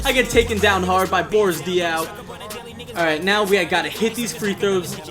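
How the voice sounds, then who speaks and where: A man's voice: high-pitched, moderate pace, music in the background. A man giving self-hyping play-by-play commentary on his own basketball video game, narrating each play in the present tense. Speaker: video gamer and channel host.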